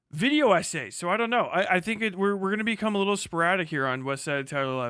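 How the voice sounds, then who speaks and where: A man's voice: a little high, bright, forceful tone, clear, resonant tone, dry recording, clean studio sound. A man hosting a livestream with casual, rambling, sarcastic chat and plenty of swearing, sounding tired. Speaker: livestream host.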